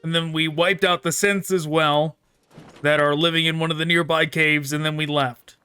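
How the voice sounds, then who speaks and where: A man's voice: fairly high pitch, flat delivery, moderate pace, sharp tone, clear, resonant tone, faint music behind. A man hosting a gaming video, casually commenting on his playthrough and reading dialogue choices aloud.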